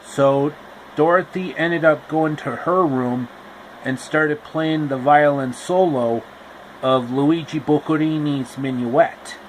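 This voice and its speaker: Man narrating a story, slow, repetitive asides, slightly high voice. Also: fairly even intonation, slight background noise, rough recording. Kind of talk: casual storybook retelling.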